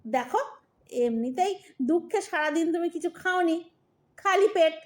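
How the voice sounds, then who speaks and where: An exasperated old wife played by a woman in a comic audio play. Her voice is very high, with a scolding, sarcastic edge and a mock-sweet way of needling her husband. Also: animated, moderate pace, darkish tone. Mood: mock-sweet coaxing. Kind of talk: comic Bengali audio drama acting.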